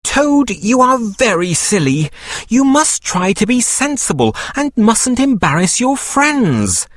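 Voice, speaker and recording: A serious, scolding water rat, put on by a man in a raised, lively voice. He speaks slowly and sternly, recorded close and dry. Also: very high voice, dry recording, voice pushed up, exaggerated voice. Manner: stern, lecturing.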